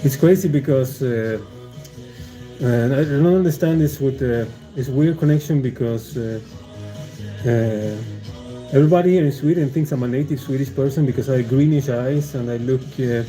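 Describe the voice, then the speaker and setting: A man's voice: mid-range voice, moderate pace, warm tone, faint music behind, low-quality recording. A man chatting casually on a livestream, meandering and hesitant, with many fillers and restarts and frequent chuckles. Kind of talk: casual rambling stream chat.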